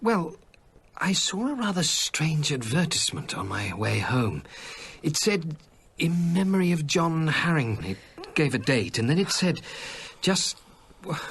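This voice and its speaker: An actor playing an anxious, scholarly English gentleman in a radio drama, hesitant and stammering in his politeness. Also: fairly high pitch, slight background noise, exaggerated voice.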